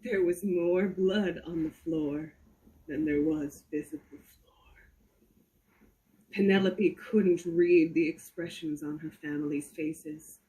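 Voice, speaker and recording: Woman giving a slow, suspenseful dramatic reading. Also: low-pitched, fairly even intonation, dark tone, low-quality recording. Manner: theatrical, suspenseful, with deliberate pauses. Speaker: performer reading a story aloud.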